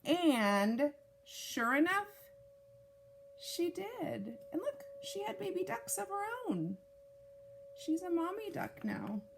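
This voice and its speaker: A woman reading a picture book aloud to young children, with deliberate, expressive phrasing and casual asides. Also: very high-pitched, gravelly, noisy background. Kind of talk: read-aloud storytime narration with casual asides.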